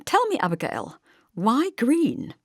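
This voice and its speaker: A woman's voice: on the high side, huge pitch swings, slow, husky, no room sound, clean studio sound, pitched above the performer's own voice, heavily acted. A woman voicing a snobbish, probing middle-aged mother of the groom in an audiobook, with genteel disapproval. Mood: probing, disapproving.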